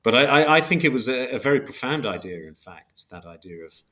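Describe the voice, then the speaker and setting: A man's voice: medium pitch, expressive, fairly quick, bright, forceful tone. A man speaking as an academic interview guest, thinking aloud in a hesitant, self-correcting, reflective way. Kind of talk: thoughtful interview discussion.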